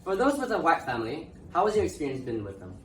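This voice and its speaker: A man's voice: fairly high pitch, wildly swooping pitch, fast talker, a little hiss or hum. A young man from Malaysia talking in a casual panel discussion, hesitant and full of filler sounds. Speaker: co-host. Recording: roomy echo, mic at a distance.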